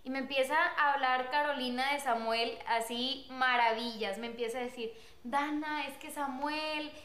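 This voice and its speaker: A young woman telling a personal story in casual chat, animated and quick to laugh. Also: high voice, reverberant. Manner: animated, laughs often, acts out quotes. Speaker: guest telling their love story.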